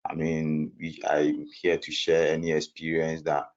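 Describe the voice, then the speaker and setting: Deep, flat-voiced man from Ghana. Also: measured pace. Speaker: panelist. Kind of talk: online panel introduction. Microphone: video call. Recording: dull lo-fi video call audio.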